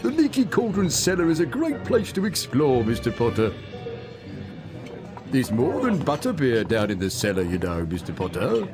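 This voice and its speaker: A man voicing an excitable, courteous little wizard in a video game, effusive. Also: medium pitch, normal speed, over music. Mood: delighted.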